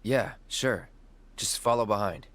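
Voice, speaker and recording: Terse, low, unhurried fighter. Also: male voice, a bit bright, a little hiss or hum. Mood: terse, guarded. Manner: curt, understated. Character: terse, aloof, guarded young male fighter. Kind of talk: video game voice acting.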